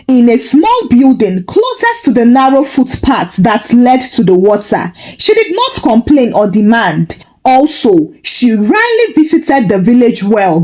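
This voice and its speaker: Animated woman folktale storyteller. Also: a little high. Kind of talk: scripted dramatic folktale narration.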